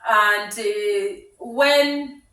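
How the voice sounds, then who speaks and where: A middle-aged woman from Uganda telling her life story on her vlog, speaking slowly with many pauses and fillers while cooking in her home kitchen. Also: medium pitch, pushed and bright. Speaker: vlogger. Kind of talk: casual personal storytelling. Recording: echoey kitchen, voice from a distance.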